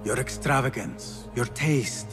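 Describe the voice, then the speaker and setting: A man performs a determined young thief turned assassin in a game drama. His mid-range voice is measured and courteous, holding something back, over a prominent musical score. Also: mid-range voice, over music, natural voice. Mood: courteous, probing. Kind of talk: restrained dramatic game dialogue. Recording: loud score behind.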